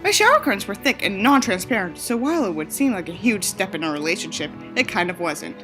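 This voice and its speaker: A woman's voice: mid-range voice, sing-song, fast talker, music in the background. A woman reading an audiobook aloud with theatrical delivery and sarcastic, sweary asides. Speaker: audiobook reader and commentator. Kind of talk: scripted narration with sarcastic asides.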